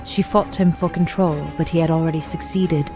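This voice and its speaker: A woman's voice: low-pitched, steady tone, moderate pace, natural voice. A woman acting as a grave storyteller, narrating an audio drama in a scripted dramatic style. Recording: music under the voice.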